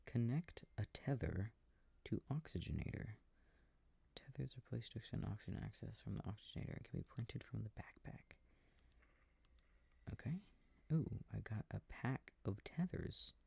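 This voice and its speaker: Affectionate boyfriend, played by a man, for sleep-aid ASMR roleplay: breathy, gravelly, soft rambling murmur, very close and dry. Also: fairly low pitch, animated, moderate pace, no room sound, heavily acted. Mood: absorbed, mildly puzzled. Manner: murmured, rambling, gently bemused. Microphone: close microphone.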